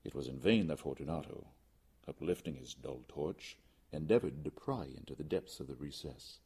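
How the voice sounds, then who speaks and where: A man's voice: extremely low pitch, normal speed, dark tone, breathy edge. A man reading a gothic story aloud as dramatic, scripted audiobook narration. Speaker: audiobook reader.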